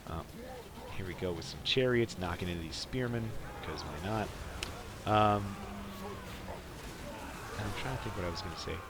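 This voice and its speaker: Rambling, low-pitched young man over battle noise. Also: normal speed, pauses often. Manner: rambling, peppered with "like". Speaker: podcast host playing a strategy game. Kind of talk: casual gameplay commentary.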